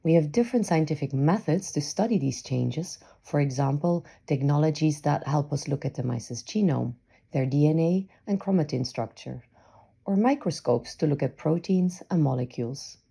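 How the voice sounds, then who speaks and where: A woman's voice: deep voice, lively intonation, moderate pace, vocal fry, mellow, slightly rough. A woman narrating a scripted science explainer.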